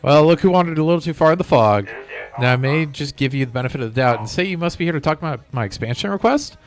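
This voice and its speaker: A man's voice: a little high, lively intonation, quick pace, close to an everyday voice. A man voicing a stubborn corporate robot land developer from a video game, with a drawling, folksy, self-important manner, over music. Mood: folksy, aggrieved. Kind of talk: reading video game villain dialogue aloud.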